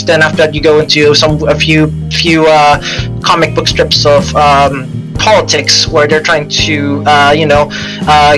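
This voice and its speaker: A man in a casual podcast chat, explaining something at length in a halting, repetitive, enthusiastic way. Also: a little high, low-quality recording. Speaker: podcast co-host. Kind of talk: casual chat, long rambling explanation of comic lore. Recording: music behind the voice. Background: music with guitar.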